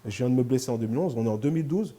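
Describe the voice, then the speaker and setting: A middle-aged man answering interview questions, steady and emphatic, stacking up his points in short repeated phrases. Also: mid-range voice, racing. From France. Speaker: interview guest, lawyer and sports agent. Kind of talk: candid interview talk.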